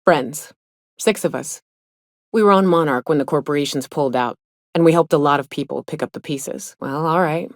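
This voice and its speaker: An actress playing a tough, guarded woman hunter in a video game, in her thirties, with a blunt, folksy drawl and rough slang. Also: deep voice, normal speed, no room sound, studio quality. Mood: guarded, earnest. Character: tough, gruff, guarded woman monster hunter in a spaceship crew. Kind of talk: video game character dialogue.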